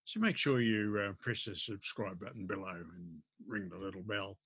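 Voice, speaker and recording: An older man, explaining informally to camera in a halting, hesitant way. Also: fairly low pitch, a bit bright, breathy edge, dry recording. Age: older, around 70s. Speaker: amateur radio vlogger. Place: indoors at a desk.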